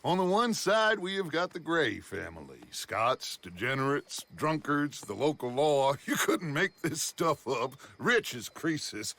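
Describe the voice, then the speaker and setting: An actor playing a grandiose, scheming American outlaw gang leader who speaks in a deliberate, persuasive, declamatory way in a video game cutscene. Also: male voice, fairly high pitch, big pitch swings, a bit bright, heavily acted. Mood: sardonic relish.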